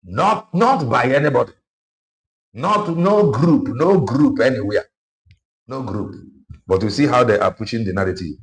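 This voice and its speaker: A man's voice: medium pitch, lively intonation, measured pace, long pauses. A man giving political commentary on a livestream, emphatic and repeating his key phrases as he switches between languages.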